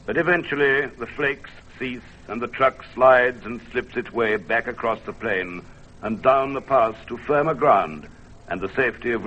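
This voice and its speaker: A man narrating an old-time radio adventure from a script in a measured, theatrical manner. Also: medium pitch, sharp tone. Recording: dull vintage radio recording. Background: music.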